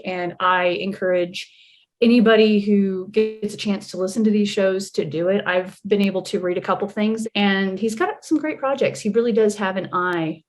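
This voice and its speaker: Woman guest just chatting, mid pitch, jokey and a bit teasing. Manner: playful, teasing, dry joking. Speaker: voice actor guest. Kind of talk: casual chat interview.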